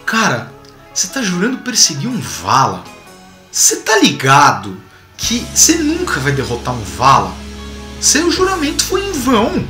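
A man in his forties with a Brazilian accent, giving casual, colloquial explanatory commentary as a video host. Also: high voice. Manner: animated, colloquial, rhetorical asides. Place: small indoor home room with bookshelves. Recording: roomy echo, music behind.

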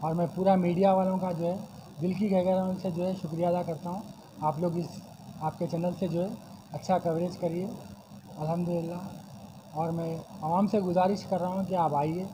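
A man gives earnest, formal thanks into a row of news mics. His pitch sits a little high yet stays flat and even. Clear background noise runs under him. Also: a little fast. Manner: formal, earnest, repeats fillers. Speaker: guest congratulating the owners. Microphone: many news mics on a table.

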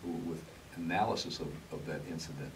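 A man in his seventies conducting a conversational interview, halting and repeating phrases as he goes. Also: a bass voice. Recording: slightly distant mic, faint background noise.

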